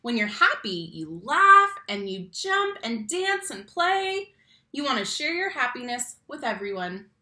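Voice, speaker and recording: Bright, high-voiced young woman reading to children. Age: young adult. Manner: animated, slow emphatic phrasing on key words, addresses children directly. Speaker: read-aloud teacher and storyteller. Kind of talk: expressive picture-book read-aloud for young children. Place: small room at home, indoors. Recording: roomy home webcam sound.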